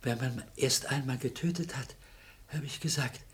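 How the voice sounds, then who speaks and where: A man's voice: medium pitch, moderate pace, husky. An actor playing a meek, polite but stubbornly principled little man in a radio crime play, apologetic, hesitant and philosophical. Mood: troubled, secretive.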